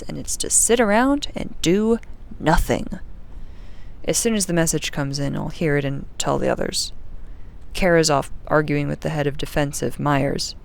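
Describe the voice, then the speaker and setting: A woman acts a young female spaceship crew member keeping an audio-drama log. Her voice is low-key and gravelly with vocal fry, and she talks in a dry, sarcastic, sweary grumble, frustrated with her lot.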